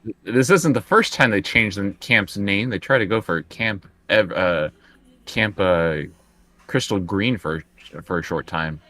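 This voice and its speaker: Male tabletop player, deep and sharp voice, informal conversational delivery. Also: a little slow, no room sound. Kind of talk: casual game chat.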